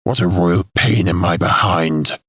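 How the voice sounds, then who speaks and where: An actor playing a haughty, fussy royal court lord in an audio drama, with a pompous, indignant, gravelly delivery. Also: male voice, sits low, a little slow, a bit bright, dry recording, full character voice. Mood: smarmy, grumbling. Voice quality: gravelly, raspy.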